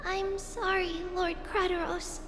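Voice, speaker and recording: A woman voicing a small, earnest, polite girl peacemaker in an anime-style fantasy game, pleading gently. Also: very high-pitched, level tone, unhurried, a little hiss or hum. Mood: earnest, conciliatory. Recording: long reverb, music behind. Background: music.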